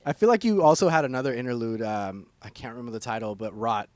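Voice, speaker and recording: A man's voice: mid-range voice, quick pace, a little mellow, no room sound. Male interviewer, eager, rambling questions, dry close mic. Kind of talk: casual music interview.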